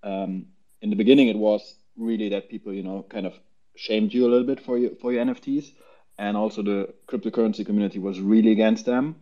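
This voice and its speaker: A man explaining things casually as a panel guest, haltingly and often repeating words, over lo-fi voice chat audio. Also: lowish voice, steady tone, dark tone.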